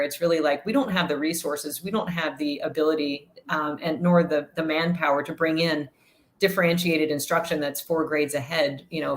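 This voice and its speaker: A woman with a notably low voice talks as an interview guest. She explains at length in an articulate, measured way and fills her pauses with frequent ums and uhs. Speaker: guest, researcher and parent. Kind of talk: casual interview chat.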